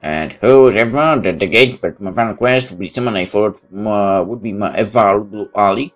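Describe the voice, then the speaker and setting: A man's voice: fairly low pitch, moderate pace. A man playing a game on camera-free commentary, reading its narration aloud and adding remarks of his own. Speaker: let's player. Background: game music.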